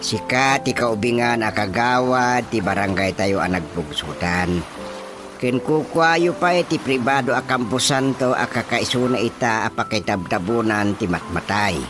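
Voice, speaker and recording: A man's voice: mid-range voice, expressive, moderate pace, natural voice. A man with an Ilocano accent playing a jolly older village man welcoming a guest in a radio drama. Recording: radio drama with music underneath.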